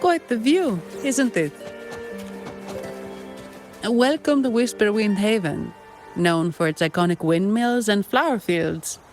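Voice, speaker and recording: A woman's voice: medium pitch, expressive, takes it slowly, long pauses, poor audio. An actress playing a composed, weary female guide in a video game, speaking in a matter-of-fact, understated way. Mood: calm, informative. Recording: loud music behind the voice.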